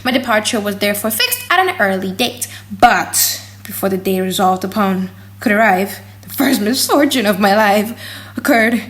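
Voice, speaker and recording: A woman reading a gothic novel as an audiobook in a dramatic, theatrical and highly expressive manner. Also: moderate pace. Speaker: audiobook narrator.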